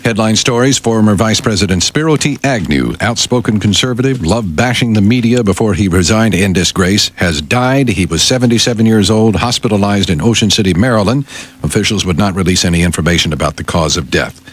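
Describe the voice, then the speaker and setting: A man's voice: lowish voice, animated, fairly quick. A man reading the news on a morning radio show, articulate and emphatic, with touches of banter. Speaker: radio newsreader and on-air sidekick.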